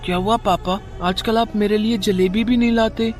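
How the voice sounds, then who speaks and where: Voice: mid-range voice, fairly even intonation, normal speed, faint music behind, close to an everyday voice. A performer voicing a sweet, considerate young schoolboy in a cartoon moral story, earnest and childlike. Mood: caring, eager.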